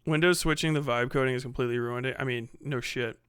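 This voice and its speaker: A man talks casually as a livestream host, answering his chat in slang and swearing freely. His voice is clear and forward-sounding with a bright edge. The sound is close and dry. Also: mid-range voice, fairly even intonation, fast talker, no echo. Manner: profane, slangy.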